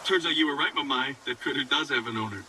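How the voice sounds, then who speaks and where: An actor playing a crude, mocking mercenary who is itching for trouble in a video game. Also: male voice, medium pitch, quick pace, sharp tone, far from the mic, slight background noise, poor audio.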